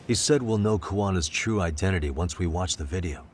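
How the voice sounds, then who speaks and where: An actor playing a calm, analytical male detective in a video game, speaking in a measured, thoughtful way. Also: deep voice, slight background noise, lightly acted. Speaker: detective lead.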